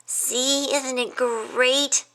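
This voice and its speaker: A woman's voice: high-pitched, fairly even intonation, extremely slow, sharp tone, voice pushed up, exaggerated voice. A woman voicing a cheerful, determined young male pig with a breezy, self-assured manner.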